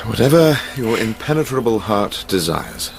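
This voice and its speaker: A man's voice: on the low side, expressive, normal speed, faint music behind. An actor playing an ardent, teasing but unstable young man in love, in a radio drama. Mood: fond, impatient.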